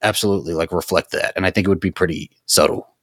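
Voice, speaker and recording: A man's voice: low voice, quick pace, no echo, clean studio sound. A man co-hosting a podcast, chatting casually and emphatically. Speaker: podcast co-host. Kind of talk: casual chat.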